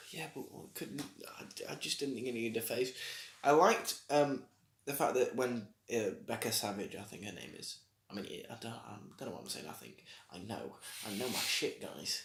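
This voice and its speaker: A man's voice: medium pitch, lively intonation, moderate pace, vocal fry, fairly bright tone. Sarcastic podcast guy, echoey room. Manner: sarcastic, swears, trails off mid-sentence. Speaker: podcast co-host. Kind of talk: casual review chat.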